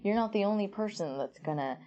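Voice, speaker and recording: A young actress with a deep voice for a woman, chatting in an interview and telling stories with laughs and many restarts. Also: animated, fast talker, dark tone, muffled recording. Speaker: actress guest. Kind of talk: casual interview chat.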